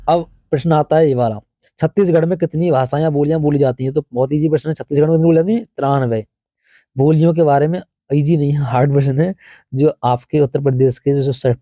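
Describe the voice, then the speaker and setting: A man's voice: medium pitch, dark tone, no echo. A man with a North Indian accent teaching an informal exam review, explaining conversationally and answering his own questions.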